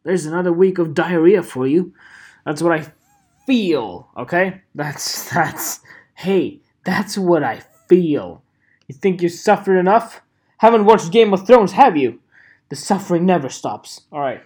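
Brief: a vlogger with an unhurried, drawn-out delivery, cursing and joking through a mock rant. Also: mid-range voice, expressive, a little mellow, husky. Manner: profane, mock-angry, joking. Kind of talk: casual rant to camera.

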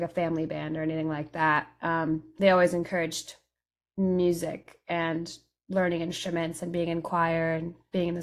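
Lower-voiced woman, a reflective interview guest. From Europe: Italy, England and France. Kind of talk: casual interview conversation.

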